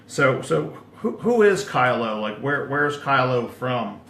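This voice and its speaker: Gravelly, bright-voiced interview host. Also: male voice, mid-range voice, sing-song, unhurried, echoey room, slight background noise. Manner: repeats words often, lots of fillers. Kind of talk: casual interview.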